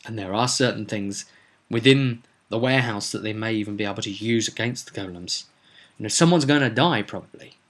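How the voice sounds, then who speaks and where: A man's voice: fairly low pitch, fairly quick, fairly bright tone. A man hosting a casual solo vlog as a game master, explaining his plans for a tabletop game in an emphatic way.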